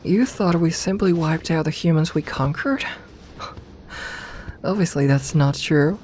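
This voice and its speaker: A woman playing an imperious serpent queen in an ASMR audio roleplay, speaking formally and with measured dignity, commanding yet tender toward her companion. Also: low voice, a little mellow. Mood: stern, proud. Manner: regal, formal, measured speech. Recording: ambient noise behind the voice.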